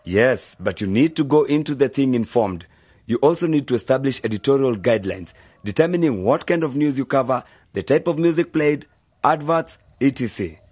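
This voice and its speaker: A husky male voice plays a sensible older brother in a radio drama. He is patient and measured, laying out advice point by point. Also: mid-range voice, lively intonation, darkish tone, dry recording. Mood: patient, instructive. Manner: measured, explanatory.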